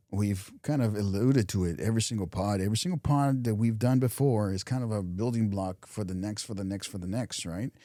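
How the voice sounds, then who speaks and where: A man co-hosting a podcast, talking casually and reflectively, often restarting phrases and dropping softer for imagined inner thoughts. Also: on the low side, no room sound, studio quality. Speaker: podcast co-host. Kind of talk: casual motivational chat.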